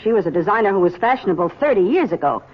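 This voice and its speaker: An actress playing a respectful, methodical police sergeant in an old-time radio drama, husky and matter-of-fact. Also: female voice, mid-range voice, expressive, rapid-fire, lightly acted. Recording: muffled old radio recording.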